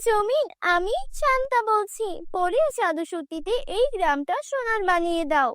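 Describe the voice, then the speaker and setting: A woman's voice: extremely high pitch, lively intonation, normal speed, fairly bright tone, dry recording, clean studio sound. A woman voicing a lonely, kind-hearted and naive village girl in a cartoon, with an earnest, plaintive manner. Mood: determined.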